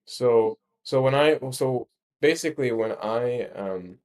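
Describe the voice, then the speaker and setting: A man explaining his ideas in a casual discussion, halting and rephrasing emphatically as he goes. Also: mid-range voice, animated, a little mellow. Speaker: speaker explaining a research ranking system.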